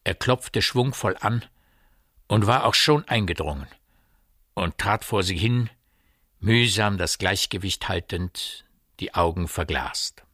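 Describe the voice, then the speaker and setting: A man's voice: low voice, lively intonation, slow, sharp tone, dry recording, studio quality, exaggerated voice. A man narrating a literary radio play in a precise, formal way. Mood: intense.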